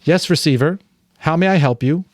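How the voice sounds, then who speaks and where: Man acting a polite, high-pitched official attendant. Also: moderate pace, no echo, clean studio sound, natural voice. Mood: polite, formal. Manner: formal, obliging. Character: polite, efficient official answering on a speaker.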